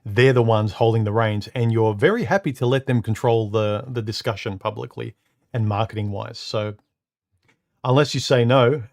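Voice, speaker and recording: A man with a deep, slightly muffled voice hosts a live stream from home. He speaks casually and haltingly, often repeating a word before he finds his sentence. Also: vocal fry. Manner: halting, repeats words, many uh and um fillers. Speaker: live stream host reading comments. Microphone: studio microphone.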